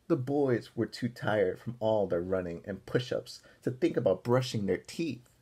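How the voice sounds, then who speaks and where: Male storytime teacher, animated and expressive, husky edge, pauses to put simple questions to little listeners. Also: on the low side. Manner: expressive, pausing to explain and ask simple questions. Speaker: teacher reading a picture book aloud to young children. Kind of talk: animated read-aloud with questions to young listeners.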